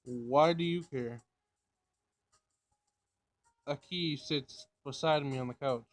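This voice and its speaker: A man's voice: medium pitch, slow, lightly acted. A man voicing a sardonic young amateur detective and teasing big brother, reading visual novel dialogue aloud in a casual way. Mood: wary, grumbling.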